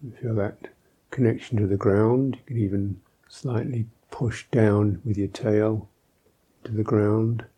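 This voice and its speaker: A man teaching guided meditation as a monk, speaking in a gentle, thoughtful way with long pauses between phrases. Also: on the low side, steady tone, husky.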